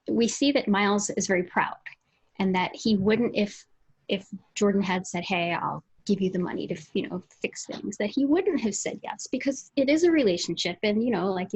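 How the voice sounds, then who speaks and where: A woman's voice: medium pitch, darkish tone, husky, low-quality recording. A woman co-hosting a casual book discussion over a video call, often rephrasing as she goes. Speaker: co-host. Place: indoor room at home, video call.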